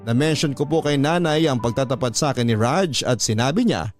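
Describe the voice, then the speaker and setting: A man's voice: mid-range voice, animated, fairly quick. A man with a Filipino accent reading a love letter in a dramatic, sentimental radio storytelling style. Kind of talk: scripted narration, radio storytelling. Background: music under the voice.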